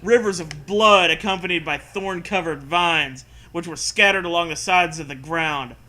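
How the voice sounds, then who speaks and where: A man's voice: a little high, moderate pace, bright, forceful tone. A man doing a mocking dramatic reading with casual riffing, sarcastic, profane and prone to hammy emphasis. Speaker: comedy co-host reading fan fiction aloud.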